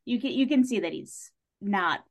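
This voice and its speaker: A woman speaking as a podcast guest in casual discussion, telling stories in an animated way with lots of "like" and acted-out reactions. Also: medium pitch, moderate pace, gravelly.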